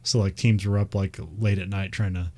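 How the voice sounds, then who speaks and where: A man's voice: fairly low pitch, flat delivery, normal speed, warm tone. A man with a Midwestern American accent, chatting casually and rambling, with frequent laughs and fillers. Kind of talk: casual chat about racing.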